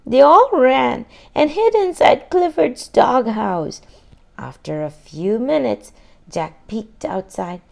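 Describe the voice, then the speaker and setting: A woman reading a children's storybook aloud expressively, pausing to put questions to young listeners. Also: fairly high pitch, lively intonation, vocal fry, darkish tone. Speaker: children's book reader.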